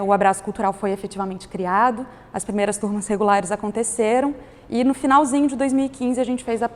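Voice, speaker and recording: A woman's voice: a little high, darkish tone. A woman, explaining her organization's work as a guest on a panel talk. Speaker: guest, director of a cultural organization. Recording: hall reverb.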